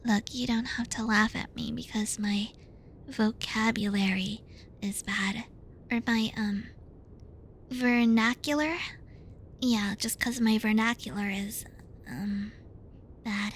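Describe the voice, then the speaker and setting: Bratty tsundere girl student for ASMR roleplay woman performer: high, soft and breathy, unhurried, pouty, defensive, tripping over words. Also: fairly even intonation, slight background noise. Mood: flustered. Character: bratty tsundere young female student, flustered, teasing and bossy. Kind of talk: ASMR roleplay addressed to the listener.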